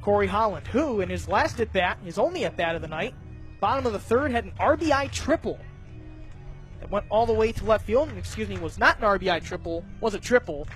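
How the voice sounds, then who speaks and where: An American man doing live radio play-by-play of a baseball game, describing each pitch and play in detail. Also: brisk, faint music behind. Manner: detailed, narrates each pitch, animated on big plays.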